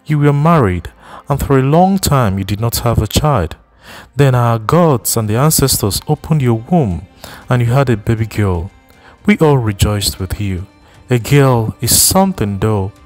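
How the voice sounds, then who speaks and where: A man reading plays a long-winded older village woman in a spoken storybook. She mourns slowly and heavily, her pitch raised high above the reader's own. Faint music sits behind her. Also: lively intonation, a little mellow, natural voice. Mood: lamenting.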